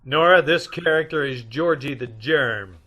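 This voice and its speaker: Man playing a bright-voiced, suave private detective. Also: fairly high pitch, unhurried. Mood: brisk. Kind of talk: old-time radio comedy-mystery acting.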